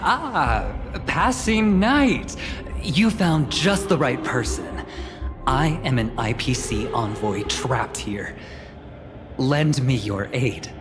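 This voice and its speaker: A sly envoy from a video game, talks in a slow, airy, flattering way. Music plays clearly behind the voice. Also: male voice, mid-range voice. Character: sly, breezy envoy who flatters his way out.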